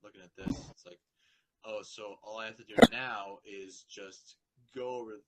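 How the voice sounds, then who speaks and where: A man's voice: fairly low pitch, fairly even intonation, moderate pace, very noisy. A man hosting an online group call in casual chat, rambling and stammering, easily distracted.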